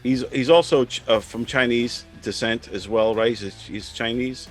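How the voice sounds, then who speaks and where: A man hosting a casual chat, speaking in halting phrases and often repeating words. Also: mid-range voice, soft background music. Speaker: host.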